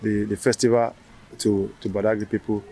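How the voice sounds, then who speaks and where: Nigerian man, halting, full of fillers. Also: on the low side, flat delivery, mellow, slightly rough. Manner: hesitant, many fillers and restarts. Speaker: festival founder. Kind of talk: interview answer.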